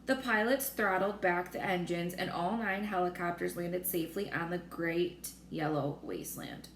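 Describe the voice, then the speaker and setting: A woman reading a children's story aloud in an expressive narrating style. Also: lowish voice, moderate pace, vocal fry. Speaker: storybook reader. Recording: roomy echo with faint noise.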